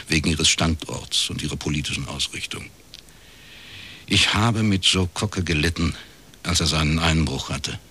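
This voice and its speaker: A man's voice: low-pitched, pushed and bright, slightly rough, exaggerated voice. A man playing a cynical middle-aged private detective who narrates dryly and laconically in a radio crime drama. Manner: dry, laconic, short clipped sentences.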